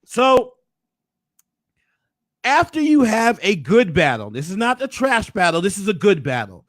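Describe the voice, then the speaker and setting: Hoarse guy hosting, high voice, hype and preachy, super dry sound. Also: sing-song, unhurried, fairly bright tone, no echo. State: hoarse, losing his voice. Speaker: host. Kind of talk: casual commentary recap.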